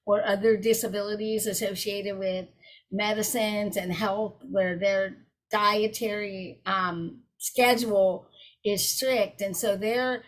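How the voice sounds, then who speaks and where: A woman's voice: mid-range voice, fairly even intonation, slow pace, low-quality recording. Brief: woman, webinar presenter, direct conversational delivery over a video call. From South Carolina. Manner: warm, direct, addresses audience as y'all.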